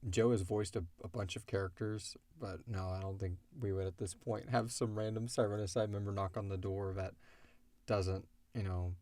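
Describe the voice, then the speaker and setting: A man co-hosting a casual question-and-answer chat, speaking in an animated way and swearing freely. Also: fairly low pitch, moderate pace, no echo. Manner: animated, swearing, acts out imagined dialogue. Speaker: co-host of an audio drama Q&A show.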